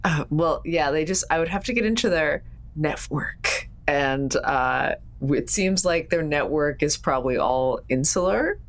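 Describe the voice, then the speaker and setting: A woman's voice: low-pitched, lively intonation, a little slow. A woman chatting casually as a tabletop game player, somewhat hesitant in her delivery. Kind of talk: casual chat.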